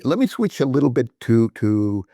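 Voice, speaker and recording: A man's voice: mid-range voice, very animated, moderate pace, warm tone, dry recording, studio quality. A man hosting a podcast, asking probing, rhetorical questions of his guest. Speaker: podcast host. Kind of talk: interviewer questions.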